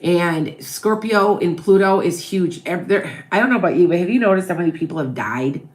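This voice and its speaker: A woman's voice: lowish voice, lively intonation, moderate pace, a little mellow. A woman with a Wisconsin-Chicago blended accent, a psychic tarot reader chatting through viewers' questions on a live stream and tagging her points with "okay? all right?". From American Midwest.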